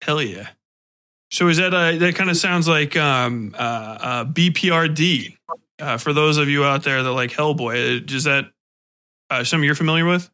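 A man's voice: fairly high pitch, moderate pace, bright, forceful tone, clean tone. A man hosting a podcast, talking in an informal, slangy, conversational way. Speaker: podcast host and interviewer. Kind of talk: casual podcast talk.

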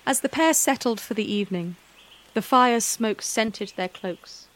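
A woman narrating a scripted fantasy story in a measured, dramatic storytelling manner. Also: fairly high pitch, fairly bright tone.

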